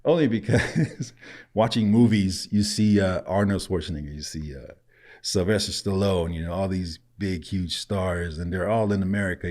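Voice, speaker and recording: A man from Samoa who grew up speaking tells stories in easy conversation, often breaking into laughter. His voice sits very low. He sounds like a guest in his thirties on a relaxed podcast. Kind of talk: casual conversational storytelling.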